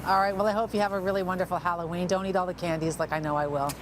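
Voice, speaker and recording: A woman's voice: on the low side, fairly even intonation, very fast, noisy background. A middle-aged Canadian woman anchoring the news in an informal, chatty interview style. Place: newsroom studio.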